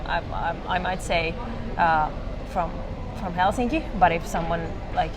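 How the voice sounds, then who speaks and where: A woman's voice: fairly low pitch, measured pace, background noise. A young woman from Finland, a comedian, chatting casually in an interview, hesitant with many fillers and restarts.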